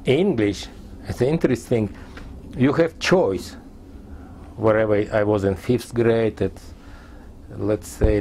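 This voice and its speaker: A man in his sixties from Tajikistan, a Persian speaker, recounting his life in halting, fragmented English in an oral history interview. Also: on the low side, a little mellow, a little hiss or hum. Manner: halting non-native English in short fragments.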